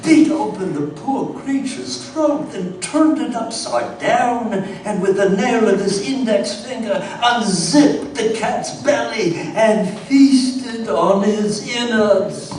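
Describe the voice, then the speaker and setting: A haunted colonial storyteller, played by a man, high-voiced and slow, narrates grim horror with theatrical weight. It is live radio theater, heard from a distance in a very echoey space. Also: darkish tone. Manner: grave, theatrical, deliberate storytelling. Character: haunted colonial Englishman recounting a horror tale. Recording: very echoey stage, distant mic, music behind.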